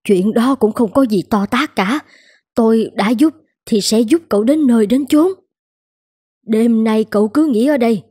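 An actress playing a kindly, brisk older woman who runs a noodle shop in a cartoon, plain-spoken and reassuring. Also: fairly high pitch, mellow, husky, dry recording, clean studio sound. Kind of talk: animated moral-tale voice acting. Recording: music under the voice.

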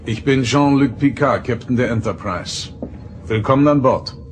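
A man playing a dignified, reflective starship captain with a formal, measured delivery in a German radio play. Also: mid-range voice, slight background noise, lightly acted. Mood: formal, calm.

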